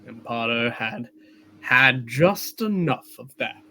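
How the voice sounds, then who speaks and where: Man as bright-voiced radio play storyteller. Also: medium pitch, animated, takes it slowly, exaggerated voice. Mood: storytelling. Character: storyteller narrating a small-town Christmas tale. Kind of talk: scripted radio play narration.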